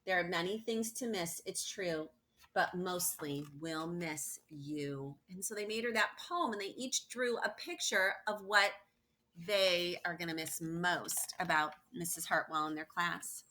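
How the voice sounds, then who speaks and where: Female teacher, storytime read-aloud. Bright, forward voice, emphatic delivery, chatty asides to the children. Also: on the low side, measured pace, a little hiss or hum. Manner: animated storytelling with emphatic stresses and playful questions to listeners. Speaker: teacher reading aloud to students. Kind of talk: expressive picture-book read-aloud with personal asides.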